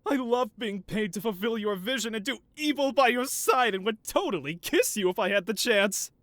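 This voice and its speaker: A man's voice: high voice, expressive, moderate pace, fairly bright tone, no room sound. A man playing a flamboyant, doting mad scientist supervillain boss in a scripted audio roleplay, with a theatrical, wheedling, self-justifying manner. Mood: playfully boastful.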